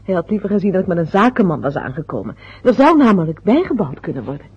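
A very breathy young fiancée in a radio play, polite and eager to please, with soft nervous laughs. Her pitch sits in a middle range. The recording is dull and lo-fi, from an old radio broadcast. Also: sing-song, quick pace, exaggerated voice. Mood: earnest. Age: young adult.

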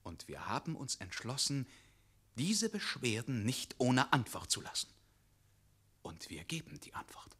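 An earnest, courteous knight errant, in a theatrical radio play. The voice is bright and husky, swinging widely in pitch as he speaks with deliberate resolve. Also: wildly swooping pitch, exaggerated voice. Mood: solemn, resolute.

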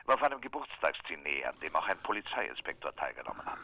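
An actor playing a gruff, sardonic police commissioner in a radio crime drama, clipped and gravelly through a telephone filter. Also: male voice, very deep voice, sing-song, fast, exaggerated voice, poor audio. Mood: brisk, sardonic. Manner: clipped, forceful.

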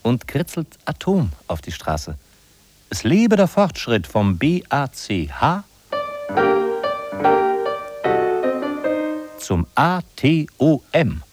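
An actor playing a sardonic narrator in a 1950s German radio play, ironic, declamatory and emphatic. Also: male voice, mid-range voice, huge pitch swings, takes it slowly, over loud music. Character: sardonic male narrator of a bleak big-city inventory. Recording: husky-sounding 1950s radio recording from cassette.

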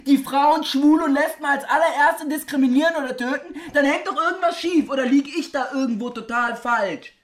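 Woman playing a pushy, high-voiced presenter, roomy sound. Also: a little fast, hardly any pauses, lightly acted. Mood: dismissive, irritated. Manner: pushy, dismissive, repeats phrases insistently. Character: defiant, ranting radio show presenter mixing beats. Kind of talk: German radio drama acting, slangy studio banter.

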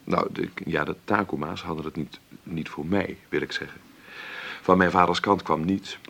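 An actor playing a wry, stubborn middle-aged film maker who talks haltingly and conversationally in a radio play interview. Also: male voice, sits low, steady tone, vocal fry, fairly bright tone, full character voice. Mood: stubborn, defiant. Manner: conversational, halting, adds tag questions. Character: celebrated 53-year-old film maker, wry, stubborn, self-made. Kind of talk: radio drama played as an interview.

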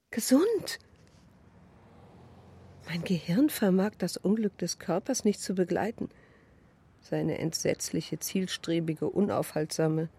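An actress playing a melancholic woman of fifty in a dramatic radio monologue, clinging to youth yet resigned, with drawn-out, expressive phrasing. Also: on the low side, gravelly, a little hiss or hum. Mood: bitter, wistful.

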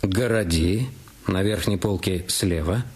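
A man in a radio play questioning a witness in a calm, methodical manner. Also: low-pitched, a little slow. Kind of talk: radio drama, questioning as an inspector and narrating. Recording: studio radio play with music underneath.

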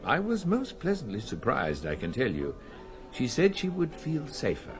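An actor playing an anxious, courteous archaeologist husband who speaks formally and earnestly in a radio drama. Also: male voice, mid-range voice, huge pitch swings, normal speed, music in the background. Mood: worried, earnest.

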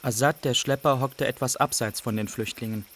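A man's voice: mid-range voice, fairly even intonation, a little fast, a bit bright, slight background noise, natural voice. An actor playing a young refugee who recounts his journey in plain, observant radio drama narration. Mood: sober recounting. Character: young male refugee recounting smugglers and border crossings.

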